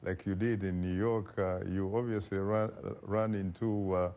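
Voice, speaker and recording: A man hosting a broadcast interview, asking measured questions with frequent pauses and fillers. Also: low-pitched, flat delivery, dark tone. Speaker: talk show host.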